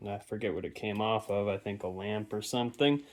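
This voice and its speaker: A man with a fairly low voice talks through a hands-on repair. His delivery is unscripted and offhand, as if thinking aloud while he works. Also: fairly even intonation, a little fast, a little hiss or hum. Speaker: hobbyist radio repairer and presenter. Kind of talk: casual unscripted repair narration. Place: stone-lined basement.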